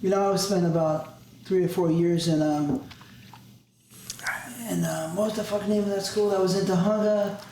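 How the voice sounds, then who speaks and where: A man's voice: sits high, fairly even intonation, normal speed, gravelly, warm tone. A man interviewing a guest in a loose, profane way, telling stories with laughs. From Southern California. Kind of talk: casual conversational interview. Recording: very echoey room, faint noise.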